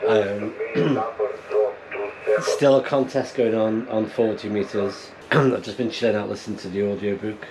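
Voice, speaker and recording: A man with a British accent chatting casually to his vlog in an unhurried, rambling, understated way. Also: fairly low pitch. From United Kingdom. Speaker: amateur radio hobbyist and vlogger. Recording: clear steady background noise.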